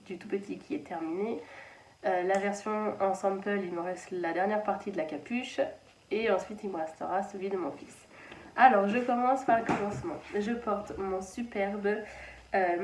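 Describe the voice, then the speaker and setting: A woman's voice: medium pitch, far from the mic, a little hiss or hum. Chatty woman in an echoey playroom. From France (Anjou). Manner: chatty, rambling, many fillers and restarts. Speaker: knitting channel host. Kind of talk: casual knitting podcast chat. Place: children's playroom at home.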